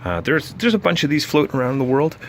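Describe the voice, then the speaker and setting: A man giving a casual, unscripted technical walkthrough, halting and thinking aloud as he explains. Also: a little high, sing-song, fairly bright tone, slight background noise. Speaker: presenter explaining vintage broadcast gear.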